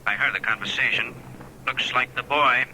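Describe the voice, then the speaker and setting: Commanding captain, telephone scene in old radio drama: piercing, forceful tone, brisk orders. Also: male voice, medium pitch. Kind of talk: 1940s radio drama acting. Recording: sharp, hissy old radio phone line.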